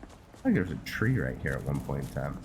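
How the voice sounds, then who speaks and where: A man's voice: very deep voice, very animated, fairly quick, background noise. A man giving casual, meandering gameplay commentary, hesitating as he talks to himself and his viewers. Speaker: gamer, host of a roleplay series. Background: video game music.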